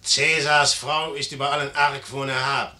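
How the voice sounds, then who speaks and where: An actor playing a proud, ambitious young Napoleon in an imperious, declamatory manner in a 1950s radio play. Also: male voice, mid-range voice, flat delivery, moderate pace, bright, forceful tone, a little hiss or hum. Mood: intimate, conceding.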